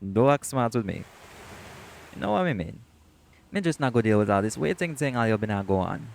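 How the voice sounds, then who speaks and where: Male actor as teasing shapeshifter boyfriend for audio roleplay, Caribbean accent, lowish creaky voice, emphatic and encouraging. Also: animated, fairly quick, a little mellow, slight background noise. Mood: teasing, insistent. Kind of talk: romantic audio roleplay acting.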